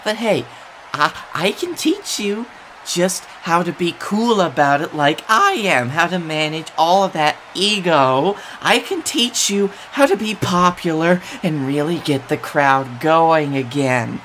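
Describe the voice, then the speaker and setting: A man playing a nervously upbeat wrestler, pleading and coaxing in a halting, emphatic promo style. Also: a little high, fairly bright tone, a little hiss or hum.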